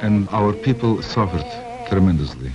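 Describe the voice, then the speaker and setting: A man speaking deliberately in an interview, pausing mid-sentence as he recounts his people's history. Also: low voice, expressive, very noisy. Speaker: community elder interviewee. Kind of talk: slow storytelling interview. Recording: dull old film sound with music.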